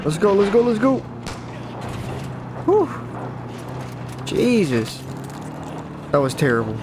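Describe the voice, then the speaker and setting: A man with a deeper, just-woke-up morning voice, chatting casually and rambling over his gameplay as a gaming video host. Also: very animated, slow pace, noisy background. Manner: chatty, rambling, self-correcting. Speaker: gaming YouTuber. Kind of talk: casual let's-play commentary. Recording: voice over loud game audio.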